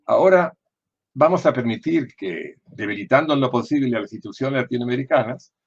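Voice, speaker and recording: An older man with an Argentine accent giving political commentary in a deliberate, didactic way, often posing questions and answering them himself. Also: mid-range voice, lively intonation, creaky, dull audio, slight background noise. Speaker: former diplomat and political analyst.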